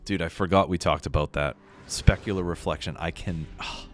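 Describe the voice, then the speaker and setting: A man with a very low, deep voice chats casually while he plays, slightly scratchy and sniffly from a cold. Quiet game music plays behind him. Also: fairly even intonation, normal speed. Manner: laid-back, chatty, lots of fillers. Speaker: game streamer. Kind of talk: casual live-stream commentary. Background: faint game music.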